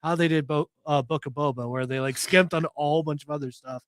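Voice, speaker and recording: A man with a somewhat high voice gives earnest, analytical takes in casual chat. The recording is dry and close, with little room sound. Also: steady tone, fast talker, fairly bright tone, no room sound. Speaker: podcast co-host.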